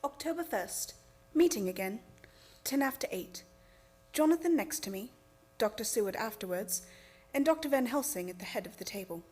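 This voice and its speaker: A woman's voice: a little high, animated, moderate pace, pauses often, darkish tone, slightly rough. A woman playing an earnest young wife who formally reads out records in a radio play. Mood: solemn, recounting.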